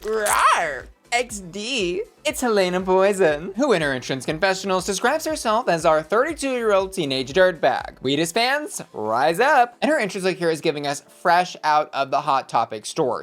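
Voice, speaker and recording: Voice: medium pitch, fairly bright tone. An American host with a feminine-sounding voice giving scripted review commentary with casual asides and campy, theatrical emphasis. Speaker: host of a drag review show.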